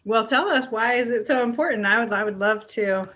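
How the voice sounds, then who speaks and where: A woman's voice: on the high side, brisk, bright, forceful tone. Chatty woman hosting a casual podcast. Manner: chatty, informal. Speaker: podcast host.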